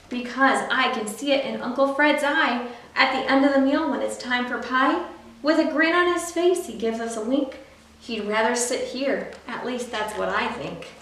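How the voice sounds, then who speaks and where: High-voiced woman reading in a very echoey room. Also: a bit bright. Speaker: library storyteller. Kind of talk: picture book read-aloud for young children. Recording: very echoey room, distant mic, faint noise.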